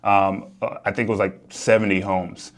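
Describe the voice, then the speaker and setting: A man with a deep voice and an American accent speaks casually to a vlog audience. He explains things as a working real estate developer and agent. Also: flat delivery, normal speed.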